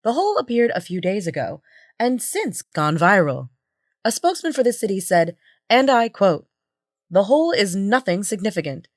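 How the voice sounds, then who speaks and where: A woman playing a lively morning news anchor in an audio drama parody broadcast. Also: mid-range voice, moderate pace, no echo, studio quality. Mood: bright, newsy.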